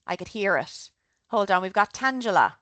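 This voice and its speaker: A woman's voice: mid-range voice, expressive, normal speed, a bit bright, no echo. Chatty Irish woman briefing travelers. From Ireland. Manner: chatty and upbeat, often checks in with "okay?". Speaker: travel company presenter. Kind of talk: online group trip briefing.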